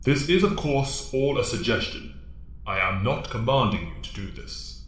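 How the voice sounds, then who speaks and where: An actor playing a stern, fatherly alien mentor in an audio drama, speaking formally and with measured authority. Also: medium pitch, lively intonation, fairly bright tone, roomy sound. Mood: grave, instructive.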